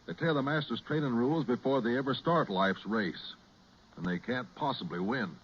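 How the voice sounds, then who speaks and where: An actor playing an earnest, devout runner who speaks in slow, solemn, preachy 1940s radio style. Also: male voice, medium pitch, a little hiss or hum, natural voice. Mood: solemn conviction. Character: earnest devout male runner answering slowly. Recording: muffled old 1940s radio recording.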